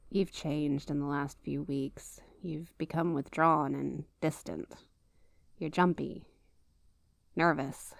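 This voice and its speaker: Concerned female coworker, acted by a woman, ASMR roleplay. Unhurried, hesitant, earnest, protective. Fry in the voice, very dry close sound. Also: low voice, dry recording, heavily acted. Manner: halting, earnest, tentative.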